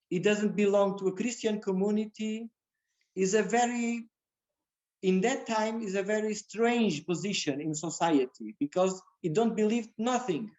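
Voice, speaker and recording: Male guest lecturer, very measured pace, halting second-language English. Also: sits high, a little mellow, highs cut off. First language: Portuguese. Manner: halting, non-native English with repeated phrases. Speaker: guest speaker. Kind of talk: religious community lecture.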